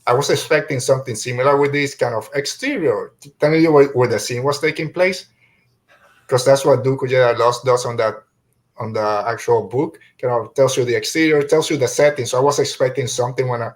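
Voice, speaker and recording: A man with a mid-pitched voice hosts a casual review discussion. He rambles a little, often backing up to reword a thought halfway through a sentence. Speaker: podcast host.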